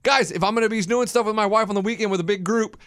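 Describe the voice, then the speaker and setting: A young man co-hosting a sports radio show in casual banter, emphatic and loud, acting out little quoted exchanges. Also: sits high, racing, dry recording, clean studio sound.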